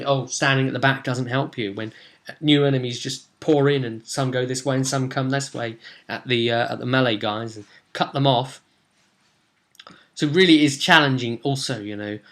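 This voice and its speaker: A man, a game master telling the story of a role-play session in a casual, animated way. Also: mid-range voice. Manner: animated storytelling, acting out players' reactions. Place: small room at home, indoors.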